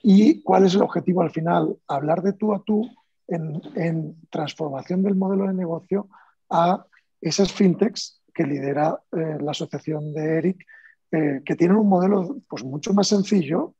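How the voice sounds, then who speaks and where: Man, Spanish accent, panelist, slightly high dark husky voice, conversational with restarts, dull dry audio. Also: moderate pace, no room sound. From Spain. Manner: animated, conversational, frequent fillers and restarts. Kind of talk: panel discussion.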